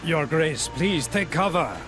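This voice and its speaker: A man's voice: a little high, takes it slowly. A man playing a deferential courtier in a video game, speaking formally. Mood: anxious, deferential. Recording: music under the voice.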